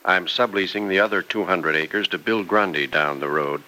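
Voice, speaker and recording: Low-voiced older farmer father, old radio. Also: normal speed, pushed and bright, low-quality recording. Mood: cautious, patient. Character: prudent older farmer father, patient and kindly. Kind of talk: 1940s-50s radio soap opera acting. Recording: vintage radio broadcast recording.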